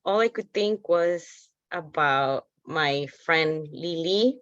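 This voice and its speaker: Female activist from El Salvador, slow, halting, earnest testimony, dry and slightly dull call audio. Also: sits low, fairly bright tone, no room sound. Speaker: activist organizer. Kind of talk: personal testimony. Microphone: video call.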